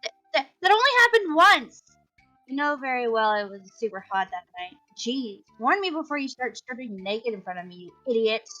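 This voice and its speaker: A woman's voice: fairly high pitch, animated, fairly quick, few pauses, soft background music, poor audio. A woman reading a bossy, teasing teenage schoolgirl in a visual novel, scolding and exasperated. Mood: flustered, scolding.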